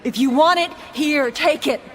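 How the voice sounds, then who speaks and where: A woman's voice: high-pitched, moderate pace, close to an everyday voice. An actress playing an earnest, intuitive mystical woman in a radio drama, grave and wondering. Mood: alarmed, defiant. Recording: loud music under the voice.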